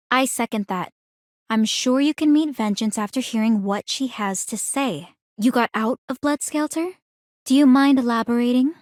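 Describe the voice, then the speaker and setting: An actress performs a young woman strategist in a video game with a somewhat high voice. She is composed and analytical, choosing formal words with measured, articulate delivery. The sound is very dry, with no room around it. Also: a little mellow, dry recording, lightly acted. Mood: calm, analytical. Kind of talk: scripted video game character dialogue.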